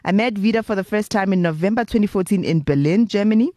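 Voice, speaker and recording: A woman's voice: medium pitch, fast talker, mellow, dry recording, clean studio sound. A woman hosting a travel podcast, talking in a chatty way and laughing often. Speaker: podcast host.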